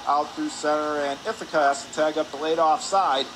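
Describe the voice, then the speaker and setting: A man calling live hockey play-by-play on the radio, excitable, with his voice rising on shots and saves. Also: fairly high pitch, normal speed. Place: indoor ice hockey arena. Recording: noisy rink ambience behind the voice.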